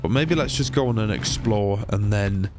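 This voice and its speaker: A young man with a cold and a slightly nasal, blocked-nose voice, chatting excitably through a game playthrough. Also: lowish voice, moderate pace, loud music behind the voice. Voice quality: a bit nasal. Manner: chatty, excitable, rambling asides. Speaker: gaming YouTuber. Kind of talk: casual let's-play commentary.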